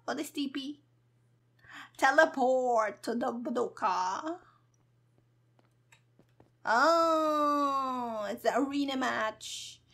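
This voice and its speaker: A woman's voice: sits high, extremely slow, gravelly, pauses often, a bit bright. A woman streamer giving casual, playful gameplay commentary in a cheeky, hyper, giggly manner. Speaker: gaming streamer, virtual avatar host.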